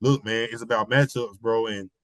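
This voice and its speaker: A man with a slightly hoarse voice from a cold, talking basketball as a podcast guest in animated casual chat, repeating his points. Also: mid-range voice, normal speed, no room sound. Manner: animated, repeats phrases, slangy. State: hoarse, getting over a cold.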